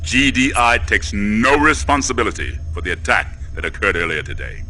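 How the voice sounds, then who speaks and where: A man's voice: fairly low pitch, very animated, normal speed, noisy background. A man playing a stern military spokesman in a game, giving a formal official statement. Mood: defensive.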